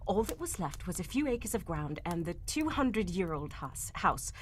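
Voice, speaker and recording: A woman playing a nervous, desperate young lady in an old-time radio play, halting and formal in her speech. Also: lowish voice, big pitch swings, slight background noise. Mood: anxious. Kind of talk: cold-read live old-time radio drama acting.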